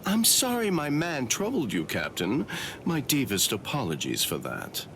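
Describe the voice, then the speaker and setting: An actor playing a sly, falsely polite captain in an anime game, teasing and insinuating. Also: male voice, mid-range voice, huge pitch swings, measured pace, noisy background. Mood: mocking politeness.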